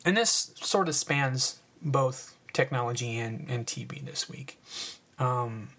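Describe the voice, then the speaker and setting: A man's voice: mid-range voice, slow, fairly bright tone. Sniffly, rambling podcast guy. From United States. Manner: rambling, filler-heavy, restarts, sarcastic asides. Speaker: podcast host. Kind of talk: casual solo podcast talk.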